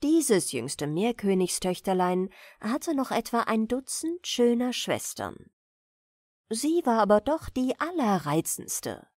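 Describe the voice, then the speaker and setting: Woman telling a bedtime fairy tale, kinda husky, really takes her time w dramatic pauses. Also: a little high, very animated, a little mellow, no room sound, clean studio sound. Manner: deliberate, dramatic pauses between short phrases. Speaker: storyteller, audiobook reader. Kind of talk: expressive fairy-tale narration for bedtime listening.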